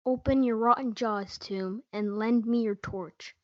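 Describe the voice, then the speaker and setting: Casting: female student as defiant, grieving young male lover, high voice, school stage reading, dull lo-fi sound. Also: fairly even intonation, measured pace, darkish tone, no echo, lightly acted. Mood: grim resolve. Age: young teen or student. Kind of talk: school reader's theatre performance. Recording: dull lo-fi audio.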